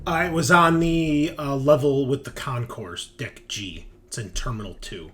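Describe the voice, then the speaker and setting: A man's voice: mid-range voice, moderate pace, fairly bright tone. A man running a tabletop roleplaying game, narrating and making rulings in a casual, conversational way. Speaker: game master. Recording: music playing behind.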